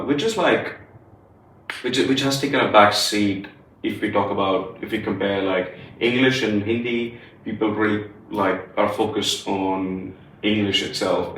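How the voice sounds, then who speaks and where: Young man from India, Punjabi first language, lowish voice, chatty and rambling, podcast co-host, mic set back in an echoey room. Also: a bit bright, distant mic, a little hiss or hum. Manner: rambling, many fillers and restarts. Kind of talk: casual chat. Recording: roomy, from off the mic.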